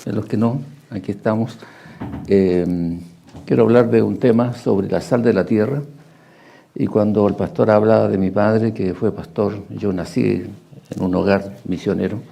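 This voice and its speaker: Male preacher, very dark mellow tone with creaky edge, reflective and halting delivery, reads scripture in short phrases. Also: lowish voice, steady tone. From Argentina, Paraguay and Chile. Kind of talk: sermon with Bible readings.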